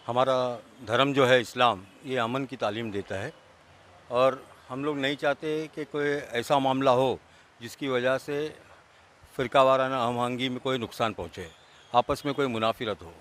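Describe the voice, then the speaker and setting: Man, average pitch, formal spokesman, announcement style, faint background noise. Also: steady tone, moderate pace, a bit bright. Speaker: religious community spokesman.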